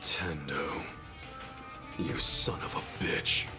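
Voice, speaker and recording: A loyal yakuza underdog out for revenge in a video game. The voice sits low, and the talk is rough, blunt and defiant, with a street fighter's profanity. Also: male voice, fairly even intonation, drawn-out words, music in the background, exaggerated voice. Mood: enraged. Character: loyal, hot-blooded male yakuza underdog, defiant and vengeful. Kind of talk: dramatic video game cutscene acting.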